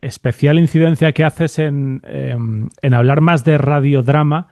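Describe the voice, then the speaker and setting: A man hosting a conversational interview, phrasing his questions reflectively and haltingly, with frequent tag questions. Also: a little high, a little mellow, no echo, clean studio sound. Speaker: podcast host, interviewer.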